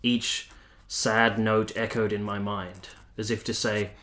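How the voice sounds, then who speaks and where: A male actor plays a lovesick young captain in a radio play, brooding and earnest. His voice is bright and forward, sometimes hesitant, as he narrates and speaks his heart. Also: fairly low pitch, little pitch movement, slow pace. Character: lovelorn, brooding young Italian army captain, earnest and self-pitying. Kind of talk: radio drama acting with first-person narration.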